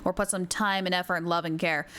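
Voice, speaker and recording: A woman's voice: lowish voice, steady tone, fast, fairly bright tone, slight background noise. A woman game streamer chatting casually, thinking aloud as she reads and answers viewer comments. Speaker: game livestreamer. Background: faint game music.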